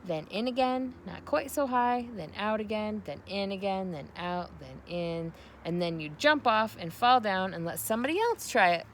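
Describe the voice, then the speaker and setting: A woman giving an expressive read-aloud narration of a children's novel. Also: medium pitch, normal speed, hardly any pauses, slight background noise. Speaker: storybook reader.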